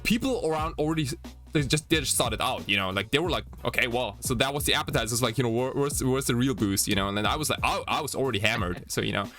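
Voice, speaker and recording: A man in his late twenties, from Germany, hosts a casual chat at an average pitch. He rambles, backing up and restarting as he searches for the next phrase. Faint music plays behind him. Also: a bit bright. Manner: rambling, halting, filler-heavy. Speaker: podcast host. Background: faint background music.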